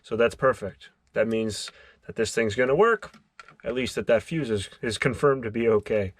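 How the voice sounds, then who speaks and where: A man's voice: mid-range voice, lively intonation, normal speed, a little hiss or hum. A man narrating a hands-on DIY tutorial as its host, explaining step by step and thinking aloud as he works. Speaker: DIY car electronics video host.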